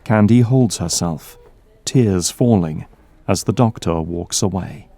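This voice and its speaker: A man's voice: low voice, slow pace, dark tone. A man reading scripted narration for an audio drama.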